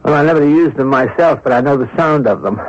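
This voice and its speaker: A man's voice: medium pitch, quick pace, lightly acted. A man acting in a radio drama as a suave, middle-aged rascal of a retired thief, glib, breezy and theatrical. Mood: self-assured. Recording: dull lo-fi radio broadcast recording.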